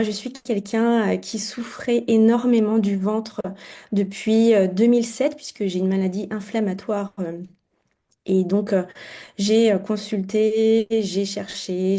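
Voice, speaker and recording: A woman in her forties tells her personal story over a video call. Her voice is warm and mellow, and she hesitates often, filling her pauses. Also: mid-range voice. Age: 40s. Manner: hesitant, many fillers. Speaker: guest client giving testimony. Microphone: video call mic.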